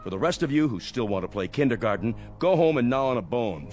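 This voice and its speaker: An actor playing a cocky, bullying military major in a radio drama, mocking and sarcastic with a theatrical swagger. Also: male voice, medium pitch, very animated, fast talker, darkish tone, soft background music, close to an everyday voice. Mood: smug, mocking.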